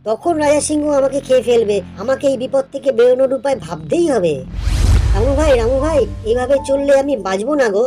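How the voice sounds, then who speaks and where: A woman voicing a clever, friendly male goat with a coaxing way of talking in an animated folk tale for children. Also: high-pitched, fast talker, over music. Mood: worried. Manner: coaxing, pleading.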